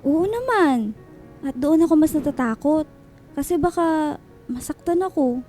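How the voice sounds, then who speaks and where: A young Filipino student in a radio drama, chatty yet unsure of her heart. Her voice is very high and gently mellow, with light music underneath. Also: expressive, measured pace. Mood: unsure, confiding. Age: young adult. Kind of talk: radio drama acting with English code-switching.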